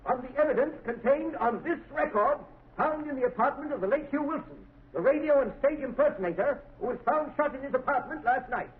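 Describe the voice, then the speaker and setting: An actor playing a formal male defense lawyer who speaks in a measured courtroom manner, in an old radio drama. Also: extremely high pitch, lively intonation. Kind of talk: 1930s radio drama acting. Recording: tinny old radio recording.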